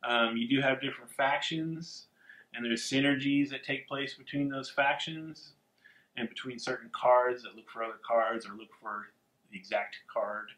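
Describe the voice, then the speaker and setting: A man's voice: mid-range voice, normal speed. Man explaining game rules in an echoey room. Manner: steady explainer, frequent ums. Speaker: podcast co-host.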